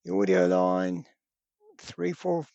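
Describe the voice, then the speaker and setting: A man's voice: low voice, big pitch swings, slow, creaky, darkish tone, dry recording, dull audio. A man giving a casual, rambling hands-on explanation as a video host, often hesitant as he goes. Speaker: host of a radio repair channel. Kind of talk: casual hands-on product talk.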